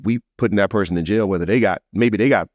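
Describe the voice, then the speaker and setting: An American man with a very deep voice speaks at a fast clip in a casual podcast discussion. He is emphatic and informal, leaning on slang and often seeking agreement. The sound is dry, with almost no room in it. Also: darkish tone, dry recording. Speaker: podcast host.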